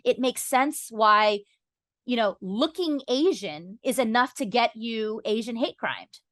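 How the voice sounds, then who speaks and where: A woman speaks as a podcast guest in a fairly high voice with a dry, close sound. She explains her opinions in an earnest, articulate, conversational way, peppered with "you know". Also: expressive, measured pace, a bit bright, no room sound.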